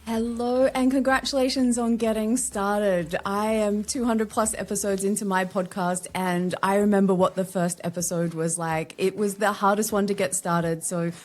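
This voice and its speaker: A woman's voice: medium pitch, steady tone, moderate pace, hardly any pauses, darkish tone, soft background music. An Australian woman, a guest on a podcast interview, talking candidly and chattily with wry asides. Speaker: guest, LinkedIn strategist.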